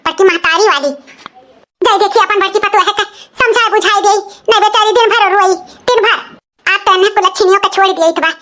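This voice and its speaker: A man's voice: very high voice, bright, forceful tone. A man voicing an indignant old village mother-in-law in a comic cartoon, grumbling scornfully with an Awadhi accent.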